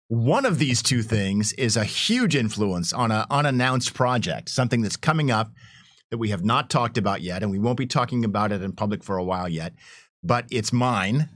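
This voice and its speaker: A man's voice: on the low side, lively intonation, normal speed, a bit bright, no echo, studio quality. A middle-aged man, chatting casually as a storyteller who often repeats and restarts his words. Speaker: game developer on a panel. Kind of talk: casual chat.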